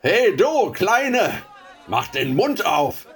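An actor playing a cruel slave-trading ship captain in an audio drama, sneering and harsh. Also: male voice, high voice, sing-song, drawn-out words, heavily acted. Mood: mocking, harsh. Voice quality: gravelly, sneering.

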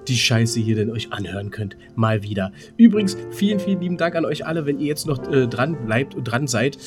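A man's voice: medium pitch, lively intonation, brisk, over loud music. A man chatting casually as co-host of a podcast. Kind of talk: casual chat.

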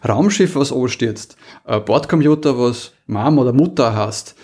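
Slightly husky man chatting. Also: medium pitch, lively intonation, measured pace, mellow. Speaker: podcast co-host. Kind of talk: casual podcast chat.

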